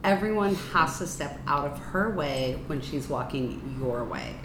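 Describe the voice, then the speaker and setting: Brief: woman host, deep for a woman, unhurried and affirming, echoey room with audible noise behind. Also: animated. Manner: warm, affirming, slow and deliberate. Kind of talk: supportive talk show chat. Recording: roomy echo with clear background noise.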